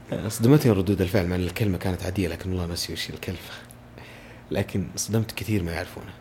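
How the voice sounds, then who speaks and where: Fast-talking deep-voiced man. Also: darkish tone, slight background noise. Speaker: voice-over artist, podcast guest. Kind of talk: casual conversation.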